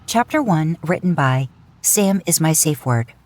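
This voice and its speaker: A woman narrating a fan fiction audiobook in a steady storytelling manner. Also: low-pitched, unhurried, no echo.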